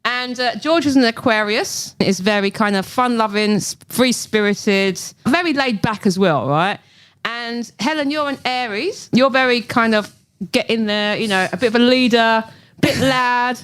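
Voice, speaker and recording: A woman's voice: fairly high pitch, a little slow, a bit bright, clean tone. An Australian woman co-hosting a podcast, chatting bubbly and laughing often as she explains why she matched two people. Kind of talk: casual chat.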